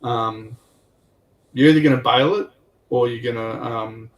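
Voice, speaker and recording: A man's voice: medium pitch, unhurried, far from the mic. A man on a hobby livestream panel, commenting casually on a tabletop game and thinking aloud in a hesitant, rambling way.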